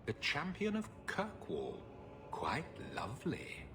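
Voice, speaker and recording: Slow, swooping, smug slave master. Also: male voice, on the high side, wildly swooping pitch, over music, heavily acted. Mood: smug, taunting. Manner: condescending, silky, taunting. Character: smug, menacing aristocratic slave master, older male magister. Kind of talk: video game voice acting. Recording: clear background noise.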